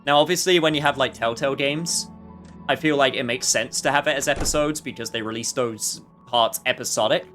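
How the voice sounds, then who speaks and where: A man's voice: medium pitch, fairly quick, a bit bright, faint music behind. A man livestreaming a game with casual, rambling commentary full of jokey asides.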